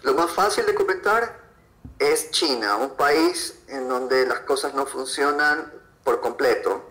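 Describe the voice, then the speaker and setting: Man, Ecuadorian accent, middle pitch, bright clear-cut tone, conversational interview answers. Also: takes it slowly, poor audio. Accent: Ecuadorian Spanish. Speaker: podcast guest, freelance photographer.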